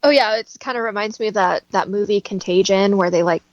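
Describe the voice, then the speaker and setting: An American woman chatting casually as a guest, agreeable and quick to giggle. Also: medium pitch, no echo, highs cut off. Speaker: guest voice performer. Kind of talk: casual chat.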